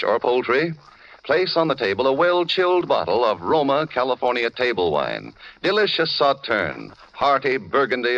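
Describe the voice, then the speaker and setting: A man's voice: mid-range voice, a bit bright. An American man reading a polished, persuasive 1940s radio advertisement as an announcer. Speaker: commercial announcer. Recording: muffled old radio recording.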